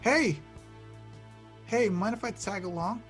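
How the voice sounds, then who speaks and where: A man's voice: high voice, slow, faint music behind. A man playing an earnest, easygoing young hero in a casual film table read, talking in a hesitant, conversational way. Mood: hopeful.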